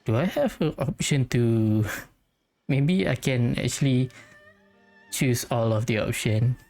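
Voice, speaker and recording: A woman's voice: a bass voice, slow, dark tone, faint music behind. A woman gamer giving casual playthrough commentary in brief, chatty asides. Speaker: gamer playing along.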